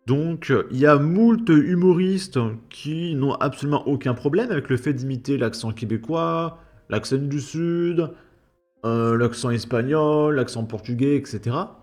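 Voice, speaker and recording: A man's voice: fairly high pitch, unhurried, a little mellow, soft background music. A man giving an opinion commentary, indignant and full of rhetorical questions. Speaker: YouTube commentator.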